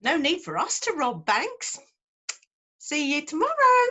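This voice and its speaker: A woman's voice: high voice, huge pitch swings, unhurried, sharp tone, full character voice. An actress playing a cheeky, breezily snide female receptionist, reading a script aloud on a video call. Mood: breezy, teasing. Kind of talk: acted script table read.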